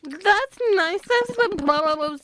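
Woman as very high-pitched sweet, dreamy character. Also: animated, drawn-out words, a bit bright, a little hiss or hum, heavily acted. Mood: polite, bright. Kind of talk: comedic audio drama.

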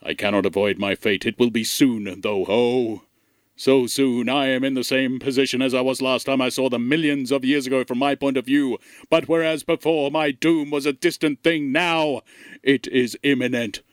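A man's voice: medium pitch, steady tone, normal speed, no echo, studio quality, natural voice. A man playing an ancient disembodied alien intelligence in a comedy audio drama, speaking in a formal, ponderous, melancholy way. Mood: mournful. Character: gloomy ancient disembodied male alien intelligence facing death.

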